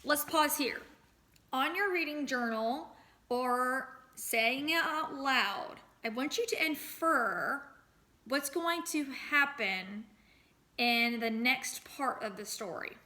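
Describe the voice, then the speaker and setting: A woman reading a storybook aloud as a teacher, expressive and pausing to address young listeners. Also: high voice. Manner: expressive, acts out sound words.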